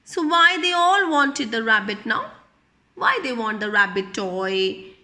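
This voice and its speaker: A woman telling a picture-book story to young children in an expressive, teacherly way, repeating phrases and asking simple questions. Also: on the high side, unhurried.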